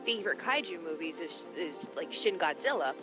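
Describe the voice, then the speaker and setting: A woman's voice: on the low side, animated, normal speed, sharp tone, faint music behind. A woman in a casual livestream discussion, explaining her analysis earnestly and at length.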